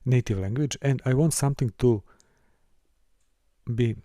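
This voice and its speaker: A man from Serbia, teaching a course and walking through a software console, with careful, halting phrasing. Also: mid-range voice, no echo, studio quality. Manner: careful, halting delivery with small restarts and non-native phrasing. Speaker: course instructor. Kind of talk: screen-recorded software tutorial.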